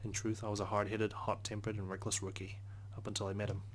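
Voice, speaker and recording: A man narrates a game story aloud in a low, flat voice at a quick pace. He reads earnestly, stumbling now and then, with some background noise. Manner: earnest, occasional stumbles while reading. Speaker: let's play host reading a visual novel aloud. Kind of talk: narration read aloud in first person.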